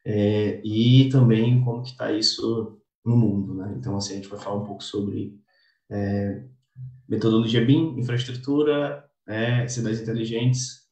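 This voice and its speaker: A man's voice: lowish voice, level tone, a little slow, mellow. A Brazilian civil engineer on a video call, a man whose first language is Portuguese, who slips between Spanish and Portuguese and keeps asking "né?". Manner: drifts from Spanish into Portuguese, tag "né?". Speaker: civil engineer, panel speaker. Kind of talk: panel presentation on a video call. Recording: roomy, distant video-call audio.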